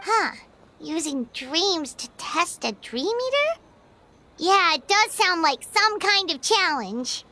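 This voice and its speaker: A tiny, excitable fairy sidekick in an anime game. The voice is extremely high, squeaky and bright, swooping wildly with cute curiosity. Music runs behind it. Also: female voice, slow pace, exaggerated voice. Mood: surprised, curious. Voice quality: squeaky, childlike. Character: tiny, excitable, childlike floating fairy companion.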